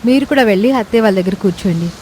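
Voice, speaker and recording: A woman's voice: medium pitch, racing, mellow, natural voice. A woman acts out a brave young daughter-in-law from a village moral story. She is caring and takes charge, giving reassuring instructions. Faint rain and vehicle noise sit behind her voice. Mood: practical. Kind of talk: Telugu moral story voice acting.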